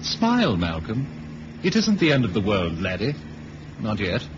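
Low, lively-voiced avuncular spy chief. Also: male voice. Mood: breezy, assured. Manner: brisk, patronising, assured. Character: breezy, avuncular senior intelligence chief, male. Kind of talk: 1970s radio drama acting. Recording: muffled, noisy old radio recording.

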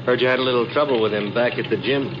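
A man's voice: mid-range voice, steady tone, quick pace, close to an everyday voice. An actor playing an easygoing American city policeman in a casual, offhand way for a 1950s radio drama. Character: easygoing male city policeman on night patrol. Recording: dull, noisy vintage radio recording.

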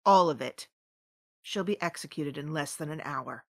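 A woman's voice: deep voice, moderate pace, creaky, no echo, clean studio sound. An actress playing a defiant, vengeful female squad leader in an audio drama, speaking in a hard, threatening manner.

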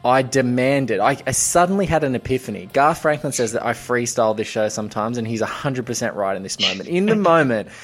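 A man hosting a film podcast in a giddy, effusive, emphatic way. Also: mid-range voice. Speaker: podcast host. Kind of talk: enthusiastic film podcast introduction.

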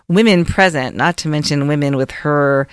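A woman's voice: low voice, normal speed, smooth, no echo, studio quality. A woman co-hosting a podcast, introducing a guest and asking questions enthusiastically. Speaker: podcast co-host. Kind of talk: podcast interviewing.

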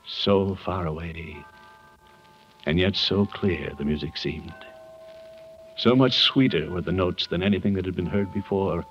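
Deep-voiced kindly storytelling man. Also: faint music behind. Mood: earnest, reverent. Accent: American. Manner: expressive, unhurried storyteller. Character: kindly, sheepish middle-aged man reading a children's Christmas story. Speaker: lead actor of a radio comedy. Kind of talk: 1950s radio acting, storytelling. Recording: dull vintage 1950s radio recording.

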